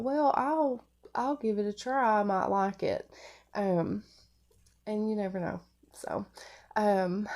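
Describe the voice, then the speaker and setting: Casual craft vlogger, woman, stuffy nasal head-cold sound, soft dark tone, slow delivery. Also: medium pitch, gravelly. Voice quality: nasal, congested. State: congested, getting over flu. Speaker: craft video podcaster. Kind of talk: casual product showcase vlog.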